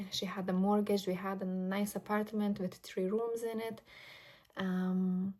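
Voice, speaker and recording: Lithuanian-born woman vlogger, late twenties, flat even delivery, mellow tone, candid and earnest. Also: mid-range voice. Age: late 20s. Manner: earnest, personal, unhurried with fillers. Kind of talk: reflective vlog monologue. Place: grandmother's home indoors.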